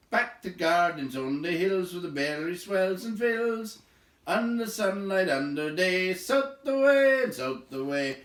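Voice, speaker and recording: A man singing as high, lilting merry elves. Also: fairly bright tone, full character voice. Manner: drawn-out, lilting rhymed delivery. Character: merry elves singing a river work song. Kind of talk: slow unaccompanied singing in a storybook reading. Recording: echoey room.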